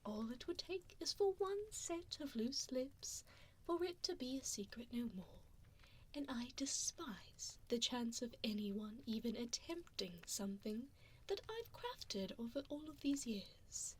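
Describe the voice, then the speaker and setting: A woman playing a cruel, haughty vampire hostess in an ASMR roleplay, speaking in breathy whispers and soft voice with condescending, formal diction, close to the microphone. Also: a little high, lively intonation, measured pace, a little hiss or hum, poor audio. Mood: secretive, disdainful. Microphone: close binaural microphone.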